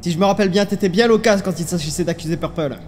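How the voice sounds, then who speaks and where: Man acting a stern, high-voiced astronaut captain. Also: rapid-fire, darkish tone, slight background noise, lightly acted. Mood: suspicious. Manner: commanding, interrogating. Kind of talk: French fan dub of animated short.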